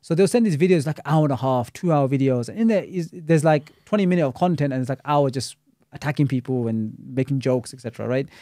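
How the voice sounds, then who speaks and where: A man's voice: medium pitch, lively intonation, fast, dark tone, no echo, clean studio sound. A man, an Islamic scholar and teacher, talking critically in a casual podcast discussion, scattering Arabic religious terms through his speech.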